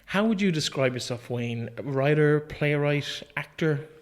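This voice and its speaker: A man's voice: fairly high pitch, expressive, unhurried, slight background noise. An Irish man hosting a podcast, introducing and interviewing a guest in a conversational style.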